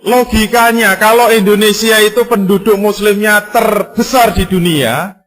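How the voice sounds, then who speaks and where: Preacher with a higher male voice. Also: measured pace, soft background music. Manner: rhetorical questions and emphatic repetition; lively, conversational and jokey in places. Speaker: Islamic preacher. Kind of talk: sermon.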